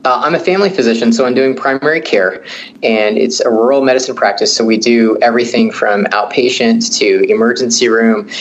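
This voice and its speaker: A middle-aged family physician from Kenya answering interview questions in a measured, explanatory way. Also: male voice, mid-range voice, dull audio, slight background noise.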